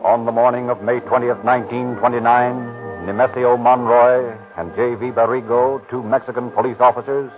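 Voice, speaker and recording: A man giving formal, measured scripted narration for a 1930s radio crime drama. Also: medium pitch, fairly even intonation. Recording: tinny old radio recording with music.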